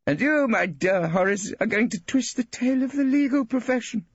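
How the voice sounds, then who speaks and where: Old bohemian painter, male, expansive and boastful; raised, husky. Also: lively intonation, moderate pace, dry recording, muffled recording, heavily acted. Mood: boisterous. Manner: booming, theatrical, expansive. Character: boisterous hearty old male artist, swaggering.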